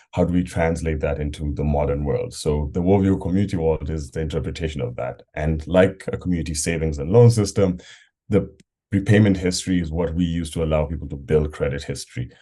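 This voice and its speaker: A young man from Kenya with a very low, dark and mellow voice. He answers interview questions earnestly, explaining his company with frequent ums. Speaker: startup co-founder.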